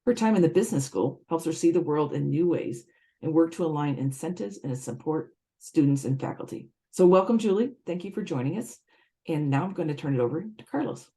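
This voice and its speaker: Mellow woman reading an intro. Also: low voice, lively intonation, brisk. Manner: scripted, reading a bio. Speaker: webinar introducer. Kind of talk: read introduction.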